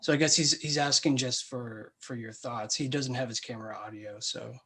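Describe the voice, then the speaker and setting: Male webinar moderator, mid-pitched, informal and polite, with a hesitant, halting delivery. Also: fairly even intonation, fairly bright tone, breathy edge. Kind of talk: informal moderating of audience questions. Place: online webinar call.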